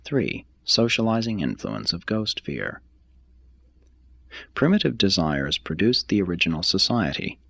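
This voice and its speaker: A man reading a written text aloud like an audiobook, in a measured, formal, deliberate manner. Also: deep voice, vocal fry, a bit bright, husky, no room sound. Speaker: reader of a text. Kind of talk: scripted reading, audiobook-like narration.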